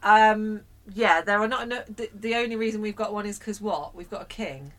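A woman co-hosting a podcast, chatting casually, self-mocking and quick to laugh, with the odd swear. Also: mid-range voice. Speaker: podcast co-host. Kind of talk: casual chat.

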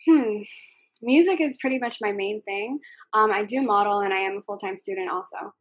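A young woman who sings, answering interview questions in a soft voice, with many ums and likes. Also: mid-range voice, normal speed, husky, no echo. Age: young adult. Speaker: singer being interviewed.

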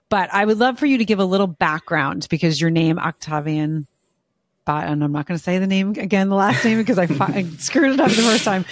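A woman hosting a podcast interview, chatty and enthusiastic, often joking at her own expense. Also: fairly low pitch. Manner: chatty, self-deprecating, enthusiastic. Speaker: podcast host. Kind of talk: casual interview.

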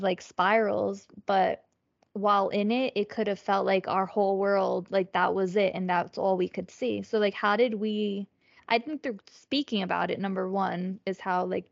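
A woman podcast co-host speaks with a clearly creaky, fry-laden voice at a medium pitch. She talks casually and reflectively, feeling out her phrasing as she goes. The sound is dry and slightly dull. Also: no room sound.

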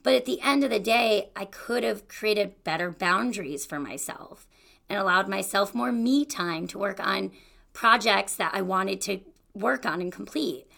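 A woman's voice: medium pitch, measured pace, creaky, a bit bright. A young woman hosting a solo podcast, talking in a chatty, encouraging way about goals and excuses. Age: young adult. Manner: chatty and encouraging, with fillers like "you know" and "like". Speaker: podcast host. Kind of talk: casual solo podcast monologue.

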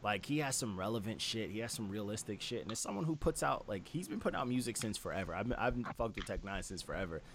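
A man with a fairly low voice hosts a casual music review. He talks in an enthusiastic, slangy style full of swearing. There is slight noise behind him and some mouth clicks. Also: lively intonation, quick pace, hardly any pauses. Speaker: music review show host. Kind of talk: casual album review chat.

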